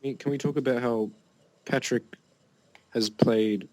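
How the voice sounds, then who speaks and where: A man's voice: medium pitch, fairly even intonation, a little slow, mellow, rough recording. A teenage man co-hosting a casual podcast, laid-back and dropping dry one-liners. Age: teens. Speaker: podcast co-host. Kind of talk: casual chat. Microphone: Skype call recording.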